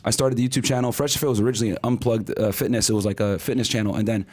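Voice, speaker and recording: A man in his thirties telling his story as a podcast guest, in casual, rapid talk full of fillers and acted-out quotes. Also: lowish voice, fairly even intonation, a little mellow. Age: 30s.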